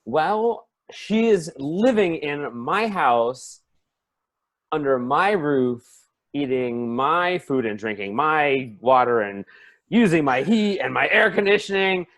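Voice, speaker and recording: A man's voice: fairly high pitch, animated, slow pace, a bit bright, heavily acted. A man doing a griping petty husband. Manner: sarcastic, griping. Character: petty, complaining husband Greg.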